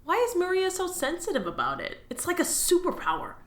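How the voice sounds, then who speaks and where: A woman's voice: very high voice, wildly swooping pitch, a little fast, exaggerated voice. A woman voicing an eager rookie alien office clerk who loves reading a strange story aloud and begs a coworker for more time. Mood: pleading. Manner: dreamy storytelling, pleading with coworker. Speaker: voice actor. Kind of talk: audio drama acting. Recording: roomy echo over loud music.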